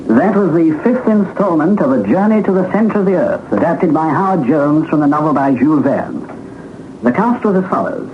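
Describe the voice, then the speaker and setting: A man's voice: on the high side, lively intonation, normal speed. A British man reading a formal 1960s broadcast announcement as a radio continuity announcer. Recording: thin, narrow-band old radio, faint noise.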